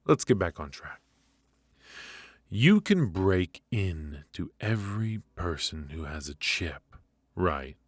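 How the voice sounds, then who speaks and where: A man playing a menacing, teasing mafia boss in an intimate ASMR roleplay, speaking with deliberate pauses and mock politeness. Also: sits low, big pitch swings, dry recording, exaggerated voice. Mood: probing, unhurried. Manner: slow, deliberate, mockingly polite with drawn-out pauses.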